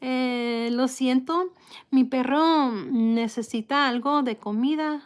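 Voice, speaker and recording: A woman from the United States talks casually to her live stream viewers. Her voice sits a bit high and she speaks slowly, with pauses and restarts. Her articulation is slightly impeded, giving her words a careful, halting feel. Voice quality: slightly impeded articulation from a false tooth and past stroke. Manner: halting, unhurried, greeting viewers by name.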